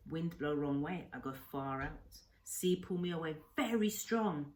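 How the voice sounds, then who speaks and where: A woman voicing an old Japanese island hermit from a storybook, angry, sorrowful and gentle, in short sentences of halting broken English. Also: deep voice, lively intonation. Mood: sad, frightened. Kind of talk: storybook read-aloud character.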